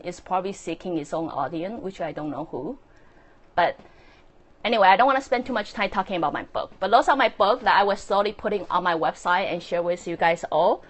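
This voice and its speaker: A woman with a Chinese accent, speaking English as a second language, hosting a casual, earnest solo talk with many fillers and soft laughs. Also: on the low side. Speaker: podcast host and author.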